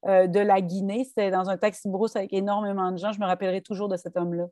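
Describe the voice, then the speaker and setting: Québécoise woman speaking softly, mellow voice. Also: mid-range voice, quick pace, no room sound, studio quality. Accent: Québécois French. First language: French. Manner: thoughtful, animated, many restarts and fillers. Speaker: guest author and poetry workshop leader. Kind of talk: casual conversational interview.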